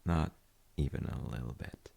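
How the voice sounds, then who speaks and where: A man playing a gentle, thoughtful dad in an intimate audio roleplay, speaking hesitantly and softly with a gravelly, husky voice. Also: rumbling low, moderate pace, heavily acted. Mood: wry, musing. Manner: hesitant, musing, soft-spoken. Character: gentle, thoughtful dad comforting his child. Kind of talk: intimate audio roleplay, slow bedtime chat.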